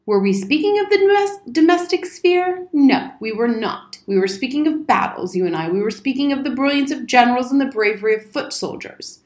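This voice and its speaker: A woman's voice: high-pitched, animated, fairly quick, few pauses, darkish tone, voice pushed up. A woman acts out a blustering old soldier from a storybook. He is pompous and wordy, leaning hard on his words, in a room with noticeable echo. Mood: indignant, flustered. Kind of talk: storybook character reading. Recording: roomy, echoing indoor sound.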